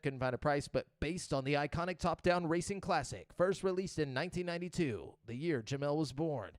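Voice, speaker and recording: A man's voice: fairly high pitch, dry recording. A man hosting a casual podcast, reading game release news in a loose, profane, joking way and stumbling over names. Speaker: podcast host.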